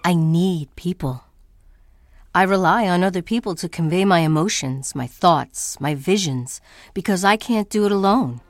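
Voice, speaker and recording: A woman playing an introspective artist in a poetic dramatic monologue, speaking with deliberate, theatrical phrasing. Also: on the low side, faint music behind, close to an everyday voice. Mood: confessional. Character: introspective woman artist baring her thoughts, by turns tender and defiant.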